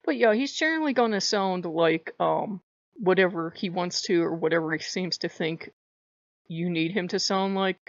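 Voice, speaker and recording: Chatty woman with a high voice. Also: normal speed, no room sound, lo-fi sound. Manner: chatty, rambling, laughs often. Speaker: spiritual vlogger. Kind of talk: casual talk to camera.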